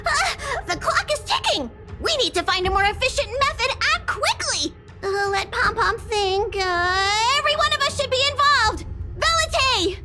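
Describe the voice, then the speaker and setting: A woman voicing a tiny, excitable mascot creature in a game dub, childlike, fussy and bossy as a train conductor. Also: extremely high pitch, normal speed, loud music behind the voice. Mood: panicked, urgent. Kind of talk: English game dub acting.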